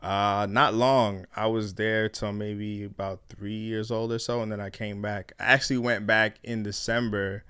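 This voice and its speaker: A man's voice: lowish voice, flat delivery, normal speed, few pauses, a bit bright, smooth, no room sound, studio quality. A young man born in Ghana and raised in Canada, a guest in a casual interview, talking in a laid-back, slangy way and swearing freely.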